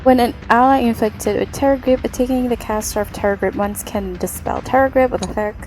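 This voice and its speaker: A woman's voice: on the high side, fairly even intonation, a little fast, a little mellow, slight background noise, low-quality recording. A woman streaming a game, chatting casually and reading aloud, with exclamations and mild swearing. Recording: game music behind the voice.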